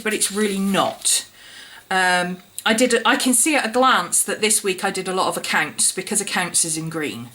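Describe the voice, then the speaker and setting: Vlogger, woman, croaky from a cold, bright tone, chatty walkthrough. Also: mid-range voice. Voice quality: slightly croaky, husky. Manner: chatty, explaining step by step. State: hoarse from a cold. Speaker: planner YouTuber. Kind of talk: casual show-and-tell vlog.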